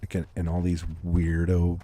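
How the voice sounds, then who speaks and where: A young man livestreaming a game, chatting calmly and casually with his viewers and thanking them for comments. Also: low-pitched, normal speed, slight background noise. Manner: laid-back, calm, chatty with viewers. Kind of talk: casual live-stream chat reading comments.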